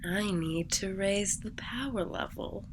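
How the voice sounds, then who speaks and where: A woman's voice: medium pitch, takes it slowly, slightly rough. A woman voicing a hot-tempered, imperious alien woman in a science fiction audio drama. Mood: grudging, defensive. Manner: imperious, snappish. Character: hot-tempered, haughty Gargonian alien woman, hates animals.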